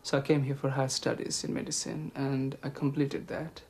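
A middle-aged man from Bangladesh with a Bangladeshi accent, answering interview questions in a measured, hesitant way. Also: medium pitch, level tone, slight background noise. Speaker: health promotion officer interviewee.

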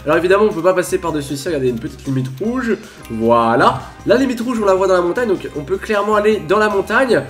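A man's voice: sits high, animated, fast talker, soft background music. A man with a stuffy, congested voice giving chatty, playful Minecraft commentary as a gaming video creator. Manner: chatty, playful, bursts into laughing shouts. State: stuffy with a cold. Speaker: gaming YouTuber.

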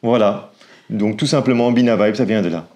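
A Belgian man in his forties with a mellow, relaxed tone, chatting through a casual vlog Q&A. He talks with a Belgian French accent and pauses often to search for words. The sound is close and clean from a lapel mic on an outdoor porch. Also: fairly low pitch, lo-fi sound. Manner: chatty, with frequent hesitations. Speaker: vlog co-host. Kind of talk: casual Q&A chat. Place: covered porch beside a house. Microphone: clip-on lapel mic.

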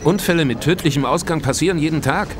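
An actor playing a formal, probing, sceptical police detective in an audio drama. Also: male voice, on the high side, quick pace, close to an everyday voice. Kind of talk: German audio drama acting. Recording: noisy ambience behind.

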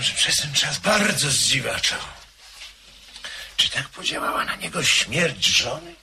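An uneasy, polite gentleman who asks his questions hesitantly and slowly. His voice sits slightly high. Clear hiss runs under the old radio recording. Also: full character voice. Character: uneasy gentleman visiting the priest. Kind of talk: vintage radio drama acting.

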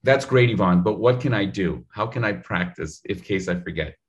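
A man in his thirties giving public speaking advice on a video call, talking in an animated, direct way with lots of gestures, recorded on a studio mic at home. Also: low-pitched, normal speed, highs cut off. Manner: animated, gestures a lot, talks straight to the viewer. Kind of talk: energetic advice in an interview. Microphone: studio mic in frame on a video call.

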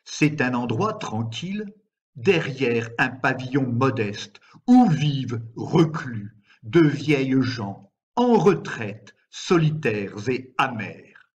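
A man reciting poetry in a measured, deliberate way, with frequent pauses between phrases. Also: mid-range voice, big pitch swings, slightly rough. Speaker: poet reading own work. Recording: slightly dull, lo-fi recording.

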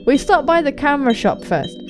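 A young woman reads a visual novel aloud for a gaming video, her voice on the high side and very animated. She breaks into jokey, sweary commentary as she goes, with some music behind her. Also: brisk. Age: young adult. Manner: expressive, sweary, jokey asides.

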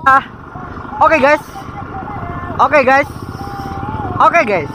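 A man's voice: very high-pitched, very slow. A young man chatting casually and in slang to his viewers as he vlogs. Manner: slangy, addresses viewers directly. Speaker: motovlogger. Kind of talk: casual vlog chat. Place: outdoors at night, village roads on a motorbike. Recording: noisy outdoor motorbike recording. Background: motorbike engine and street noise.

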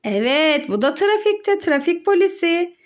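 A woman with a very high-pitched voice. She talks to young children as a mother leading an educational game. Her delivery is sing-song and playfully instructive, full of questions and exclamations. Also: very animated, unhurried. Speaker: mother hosting a children's educational play video. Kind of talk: child-directed play narration with questions and answers.

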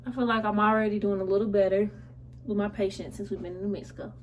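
A woman's voice: medium pitch, little pitch movement, fast. A woman in her twenties with an American accent, chatting casually and slangily while getting ready in a small room. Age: 20s. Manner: slangy, conversational. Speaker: vlogger. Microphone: camera a short distance away. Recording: slightly distant camera mic, faint noise.

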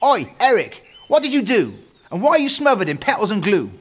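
A man's voice: sits high, wildly swooping pitch, brisk, a bit bright, pitched above the performer's own voice, heavily acted. A British man voicing a bossy, tattling little girl in a children's story, in an accusing manner.

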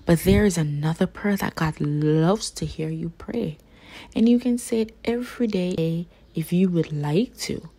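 A woman reads a children's picture book aloud at a slow pace. Her voice is husky and breathy, set at a middling pitch. She phrases each sentence carefully and simply, as a storyteller does for young listeners. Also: warm tone.

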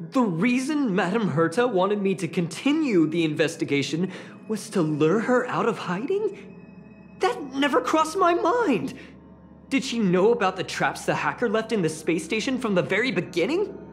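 A woman voicing an excitable, eager young employee in a video game, with a gushing, exclamatory manner. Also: medium pitch, a little slow, faint music behind. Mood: puzzled, amazed. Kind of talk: scripted game dialogue.

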